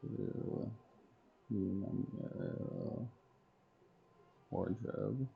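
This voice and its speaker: Male game streamer: very slow, halting self-talk, heavy vocal fry. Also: on the low side, steady tone, phone-quality sound, a little hiss or hum. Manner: halting, terse, talking to himself. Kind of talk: muttering item names while making a list.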